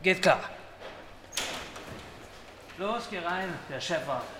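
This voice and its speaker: Brisk junior cop, fairly high voice, offhand, radio crime drama, loud background noise. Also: male voice, animated. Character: brisk subordinate policeman reporting to his chief.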